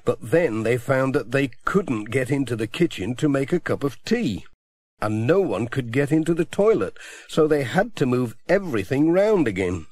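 A man's voice: medium pitch, very animated, moderate pace, a little mellow. A middle-aged man reading a children's storybook aloud as narrator. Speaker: audiobook narrator. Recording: dry close audiobook recording.

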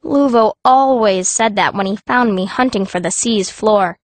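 A woman's voice: medium pitch, a little slow, no room sound. A woman reading scripted first-person narration as a fierce young girl heroine of a fantasy audiobook. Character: fierce, stubborn girl stone mage telling her story.

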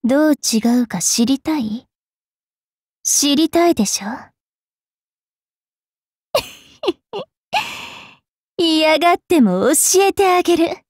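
A woman voicing a bratty, cruel young fairy witch in an anime game, haughty and taunting with a rough tongue. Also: high-pitched, no room sound, studio quality. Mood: gleeful cruelty. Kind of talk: Japanese anime game character voice lines.

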